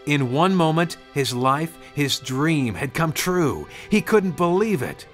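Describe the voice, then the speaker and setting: A man's voice: a little high, very animated, slow pace, faint music behind. A man narrating a scripted storybook tale as a storyteller.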